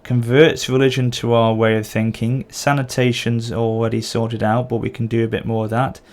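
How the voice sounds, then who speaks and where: A man's voice: mid-range voice, little pitch movement, normal speed, a little mellow. A man with a sore throat and occasional cough, casually commenting as he plays a strategy game. He thinks aloud and corrects himself as he goes. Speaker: gameplay channel host.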